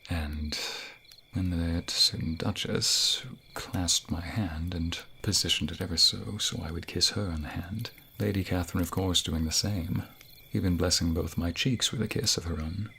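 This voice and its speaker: A man's voice: sits low, fairly even intonation, normal speed, creaky, bright, forceful tone, slight background noise, heavily acted. A man playing a tender king who confides in a secret lover, speaking intimately in formal courtly phrases for a romantic fantasy ASMR role play. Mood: teasing, flirtatious.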